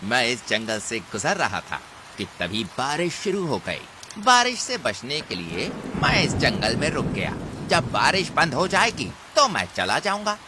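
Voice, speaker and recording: A man's voice: medium pitch, big pitch swings, a little slow, noisy background. A man voicing a shifty, insolent wolf in a children's cartoon, curt and defiant. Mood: guarded. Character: shifty, insolent male wolf from another forest. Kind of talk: Hindi children's cartoon dubbing.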